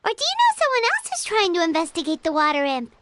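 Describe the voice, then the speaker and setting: Squeaky, very high-pitched tiny fairy companion. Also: female voice, huge pitch swings, quick pace, heavy background noise, exaggerated voice. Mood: surprised. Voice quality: squeaky, childlike. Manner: refers to herself in third person, exclamatory. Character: Paimon, tiny excitable childlike female fairy companion. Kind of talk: video game voice acting.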